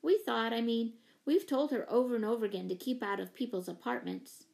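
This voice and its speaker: A woman's voice: fairly high pitch, expressive, a little fast, vocal fry, a little mellow. A woman voicing an eager, impulsive young girl in a children's storybook, enthusiastic and blurting. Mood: blurting, surprised.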